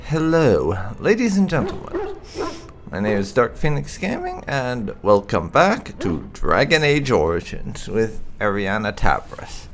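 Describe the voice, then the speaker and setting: A man hosting a gaming video, speaking in a halting, deliberate way with drawn-out emphasis. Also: mid-range voice, huge pitch swings, slight background noise. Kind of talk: casual let's-play commentary.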